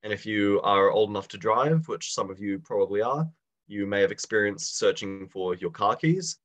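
A man's voice: fairly low pitch, normal speed, a bit bright. Young man teaching over a video call. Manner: casual, chatty, asks the class questions. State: slight cold, a bit raspy. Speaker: online class instructor. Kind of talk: live online lecture.

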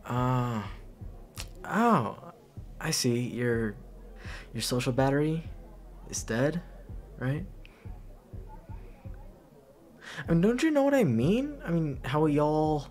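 Man acting a rambling young best friend, lively intonation. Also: fairly high pitch. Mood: apologetic, caring. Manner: halting, rambling, breathy chuckles. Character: caring, rambling male best friend at a party. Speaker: roleplay audio performer. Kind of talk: ASMR roleplay, casual intimate dialogue.

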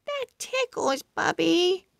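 A woman's voice: very high-pitched, big pitch swings, slow, creaky, no echo, clean studio sound, voice pushed up, exaggerated voice. A woman voicing a good-natured, playful young girl who indulges her pet in a children's storybook. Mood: playful, indulgent.

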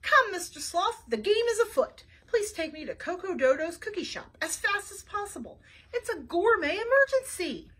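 Woman as an excitable high-pitched detective inspector. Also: normal speed, heavily acted. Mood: eager. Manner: exclamatory, theatrical. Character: excitable, dramatic, self-important fly detective inspector.

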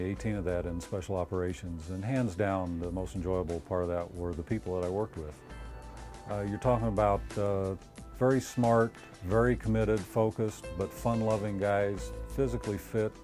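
Relaxed low-voiced middle-aged man reminiscing. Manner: relaxed, reflective, with frequent "uh" pauses. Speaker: interviewee, retired army colonel. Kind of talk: casual reminiscing interview. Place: outdoors in dry hills and in a home office. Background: background music.

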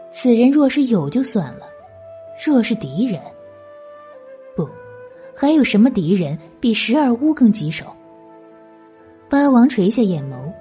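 A woman reads a novel aloud in a dramatic narrating style. Her voice is a little high and moves expressively, with a husky edge. Faint music plays underneath. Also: mellow. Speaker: audiobook narrator.

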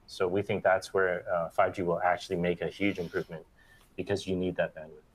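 A man's voice: low voice, little pitch movement, brisk, a little hiss or hum, rough recording. A middle-aged man on a panel in a factory studio, explaining steadily and often ending points with "right", on a lapel mic. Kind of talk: panel discussion. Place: bright open factory studio set.

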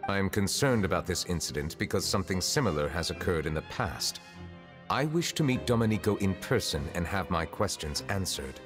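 A regal, reserved chief justice from a video game. His voice is low and his phrasing formal and measured. Music plays clearly behind him. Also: animated. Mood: calm concern. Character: solemn, courteous, reserved male chief justice of regal bearing. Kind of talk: video game character dialogue.

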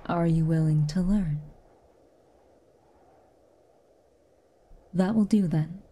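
A haughty, reclusive witch, played by a woman in an intimate ASMR roleplay. Her voice is low and very dark, soft enough to slip toward breathiness. She speaks in clipped, commanding phrases, stern on the surface with a hidden kindness. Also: close to an everyday voice. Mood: curt. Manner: aloof, clipped, commanding, occasionally breathy near-whisper. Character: haughty, reclusive tsundere witch, stern but secretly kind.

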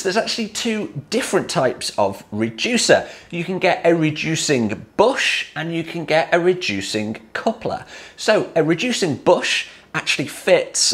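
A man in his forties explains hot tub pipe fittings to camera, taking them one at a time and lifting his voice at each new heading. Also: fairly bright tone. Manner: plain step-by-step, lifts pitch on each new heading.

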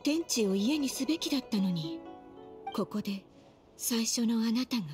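A woman's voice: fairly high pitch, animated, lightly acted. A woman as a wistful old lady over music. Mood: sorrowful. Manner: slow, old-fashioned grandmotherly phrasing. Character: gentle, wistful elderly woman with fading memory. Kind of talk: anime game voice acting.

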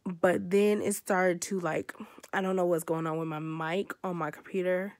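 A young American woman with a stuffy, hoarse cold voice, chatting casually and rambling in a tired way, recorded on a phone held close in her bedroom. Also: fairly low pitch, fairly even intonation, moderate pace, darkish tone. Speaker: beauty vlogger. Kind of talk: casual vlog chat. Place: bedroom, indoors.

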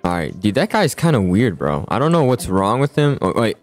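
Young male gamer for casual school roleplay, animated and slangy, music under the voice. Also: on the low side, fairly quick. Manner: slangy, excitable, stammering repeats. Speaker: roleplay video host playing a student. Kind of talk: casual improvised game roleplay.

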